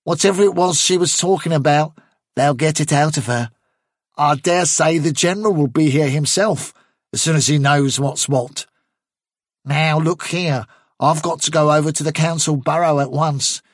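A man's voice: on the high side, normal speed, dry recording, studio quality, lightly acted. A man voicing a bossy male rabbit captain in an audiobook, giving clipped military orders. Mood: brisk, commanding. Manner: clipped officer's commands.

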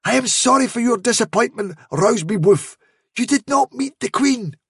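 A man's voice: extremely high pitch, animated, normal speed, slightly rough, no room sound, studio quality, voice pushed up, heavily acted. A man voicing a cunning male trickster rabbit posing as a fairy, in a storybook reading, delivering sly, solemn, dramatic warnings.

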